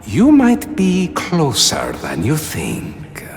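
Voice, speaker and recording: An actor playing a sly, theatrical jester spirit who teases with courtly, drawn-out phrasing. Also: male voice, on the high side, wildly swooping pitch, faint music behind, heavily acted. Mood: amused, smug. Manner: teasing, drawn-out, swooping delivery.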